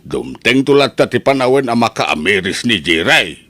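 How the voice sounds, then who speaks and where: A man playing a patient, devout old father in a family radio drama, reassuring in a slow, measured way. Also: medium pitch, lively intonation, bright, forceful tone, dry recording.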